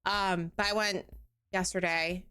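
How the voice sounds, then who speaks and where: A woman's voice: on the low side, fairly even intonation, measured pace, bright, forceful tone, clear, resonant tone. A woman streaming a game, chatting casually with her viewers in a bubbly, giggly, self-deprecating way, sounding tired.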